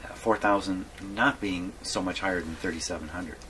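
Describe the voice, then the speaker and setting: A man giving a casual hands-on workshop demonstration, thinking aloud in a halting mutter and repeating his words. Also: low-pitched, steady tone, fairly bright tone. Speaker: hobbyist radio repairer presenting his workshop video. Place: radio repair workshop. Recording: clearly noisy workshop recording.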